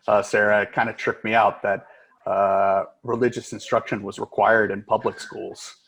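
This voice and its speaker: A man's voice: on the low side, moderate pace, creaky, breathy edge. Chatty American man on a video call. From United States. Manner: relaxed, chatty, many "uh" fillers. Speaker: agile coach, co-presenter. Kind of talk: casual presentation on a video call.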